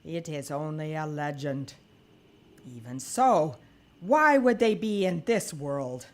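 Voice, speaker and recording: A woman playing a weary, sardonic old woman in an audio drama, with a deliberate, dry and scornful manner. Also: low-pitched, lively intonation, full character voice. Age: older.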